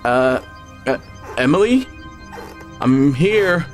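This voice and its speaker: Audio-drama role: nervous, polite male surgeon, hesitant delivery, pitched up by a male narrator. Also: very animated, slow pace, faint music behind. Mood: nervous, eager. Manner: hesitant, stammering. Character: Derek, nervous, apologetic adult male surgeon.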